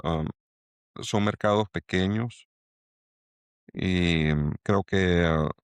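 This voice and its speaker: A middle-aged man from El Salvador with a Salvadoran accent, speaking as a guest in an interview and pausing to restart his thoughts. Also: sits low, smooth, no echo. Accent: Salvadoran Spanish. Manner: halting, many restarts and fillers. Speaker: angel investor guest. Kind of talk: reflective interview talk.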